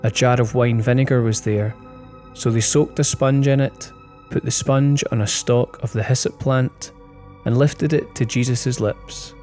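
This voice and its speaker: Man slowly reading scripture over music. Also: mid-range voice, steady tone. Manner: measured, deliberate phrasing. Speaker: scripture reader. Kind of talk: slow, solemn Bible reading. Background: soft instrumental music.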